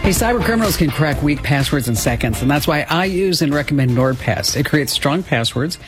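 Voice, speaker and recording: A man's voice: on the high side, animated, a little fast, over music. A man hosting a radio call-in show, speaking in a conversational presenter's style. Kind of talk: conversational hosting and scripted ad reads.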